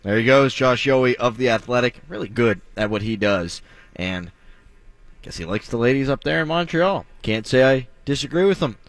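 A man's voice: mid-range voice, expressive, normal speed, no room sound. A man hosting a sports talk radio show, joking and wry in his delivery.

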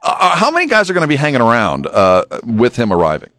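A man hosts a sports radio show in a casual interview style. His pitch moves around in an animated way, and the sound is close and dry. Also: quick pace, dry recording.

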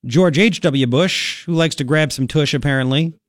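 A man's voice: on the high side, normal speed, no echo. A man with a Long Island, New York accent hosting opinionated political talk radio, emphatic and colloquial, repeating phrases for effect.

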